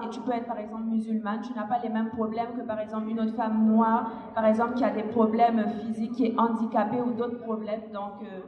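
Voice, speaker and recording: A woman adds unscripted remarks on a panel, hesitating and restating points. Her intonation is very flat. The recording is reverberant and slightly muffled. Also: on the high side. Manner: repetitive, hesitant. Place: public panel in a hall. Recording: echoey reverberant hall, dull sound.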